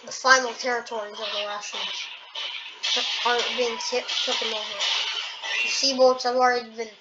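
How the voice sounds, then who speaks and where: A young female narrator giving casual play-by-play of a map war game, with frequent halting restarts. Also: fairly high pitch, little pitch movement. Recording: dull lo-fi audio with clear background noise.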